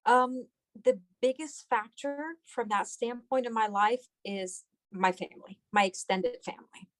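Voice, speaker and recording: A woman's voice: fairly high pitch, measured pace, dry recording. Middle-aged woman, dry close sound. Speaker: doctor being interviewed. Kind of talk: conversational interview answers.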